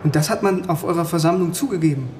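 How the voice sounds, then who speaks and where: An actor playing a bookish young East German philosophy student in a radio drama, narrating in a wry, literate way. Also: male voice, fairly high pitch, fast, a little mellow, slight background noise, lightly acted. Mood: curious, probing. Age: young adult. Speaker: narrator and lead.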